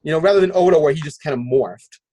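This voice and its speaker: A man with a fairly high-pitched voice hosts a relaxed podcast conversation. He speaks haltingly, working out his thoughts aloud. The sound is very dry, with no room around it. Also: husky, no echo. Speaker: podcast host. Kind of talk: casual podcast discussion.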